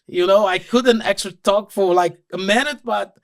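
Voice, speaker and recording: A middle-aged man from Brazil with a Brazilian accent, a native Portuguese speaker, telling his story casually as an interview guest in halting English with repeated words. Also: sits high, gravelly, no room sound, clean studio sound. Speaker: podcast guest, radio station owner.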